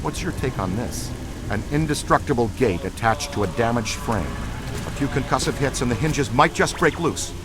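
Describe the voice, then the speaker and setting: An actor playing an eager, upbeat tinkerer of a ghost hunter in a video game, chatty and keen. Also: male voice, mid-range voice, lively intonation, normal speed, over music, close to an everyday voice. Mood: musing, low-key.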